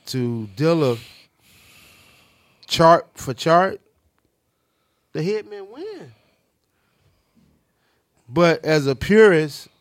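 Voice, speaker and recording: 50s guy from chicago's south side on a podcast, kinda high voice, dry close sound, keeps hammering his point. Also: no echo. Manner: emphatic, repeats his points. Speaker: podcast co-host. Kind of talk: casual chat.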